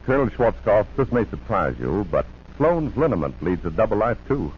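A man's voice: on the low side, very animated, moderate pace, slightly rough. A man announcing in a 1940s radio advertising style, with an emphatic, polished sales delivery. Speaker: commercial announcer. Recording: muffled noisy 1940s radio recording.

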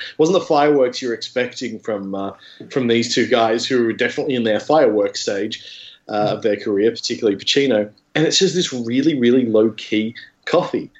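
A man's voice: medium pitch, muffled recording. A man as a podcast guest, chatting casually about film in a wry, playfully analytical way. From Australia.

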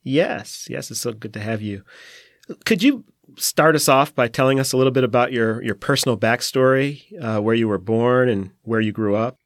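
A man hosting a podcast, calmly introducing the show and welcoming the guest. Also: mid-range voice, normal speed, no echo, studio quality. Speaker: podcast host.

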